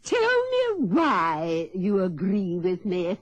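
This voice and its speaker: A haughty, husky society lady sleuth. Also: on the low side, wildly swooping pitch, no room sound, heavily acted. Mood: challenging, superior. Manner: haughty, brisk, condescending, precise. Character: imperious, sharp-witted society lady and amateur sleuth. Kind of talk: radio play acting.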